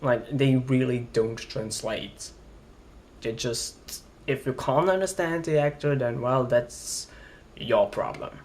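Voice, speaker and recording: A man's voice: mid-range voice, takes it slowly, a little mellow. A young man from Flanders, rambling and hesitant as he complains in a casual vlog. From Belgium, Flanders. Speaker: vlogger.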